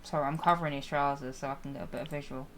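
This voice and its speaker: A woman streaming a game, chatting casually with viewers and laughing often, her voice slightly raspy. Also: extremely low pitch, steady tone, rapid-fire, noisy background. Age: adult with a school-age child. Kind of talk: casual live-stream chat while playing.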